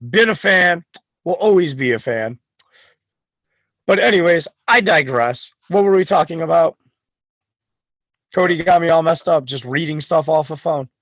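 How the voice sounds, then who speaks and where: A man's voice: a little high, lively intonation, normal speed, long pauses, a bit bright, no echo. A man talking as a wrestling guest on a podcast, boastful and hyped, full of swagger. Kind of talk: podcast chat.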